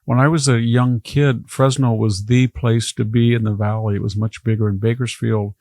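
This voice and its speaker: An older man with a gravelly, mid-range voice, recorded close and dry. He talks casually on a podcast, drifting through anecdotes with asides and restarts. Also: medium pitch, level tone, moderate pace, darkish tone, no echo. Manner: rambling, anecdotal storytelling. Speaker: historian guest.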